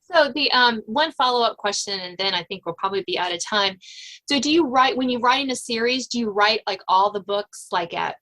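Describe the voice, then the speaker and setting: A woman's voice: fairly high pitch, lively intonation, fairly quick, fairly bright tone, rough recording. A woman hosting a podcast, putting questions to her guests in a casual conversational way. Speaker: podcast host, interviewer. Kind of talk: casual interviewing.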